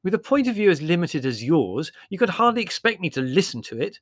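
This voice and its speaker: A man's voice: mid-range voice, animated, fairly quick, no room sound, studio quality, voice pushed up. A man voicing a supercilious, opinionated young literary man in an audiobook, airy and emphatic. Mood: indignant. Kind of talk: audiobook character voice.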